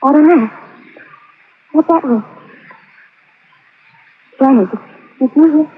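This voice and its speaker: Casting: tender, troubled leading lady, high pitched and pleading, vintage radio crime drama, narrow tinny sound. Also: takes it slowly. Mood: distressed, anxious. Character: anxious, tender young woman caught between men. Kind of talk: 1950s radio drama acting. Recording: thin old radio recording, narrow band.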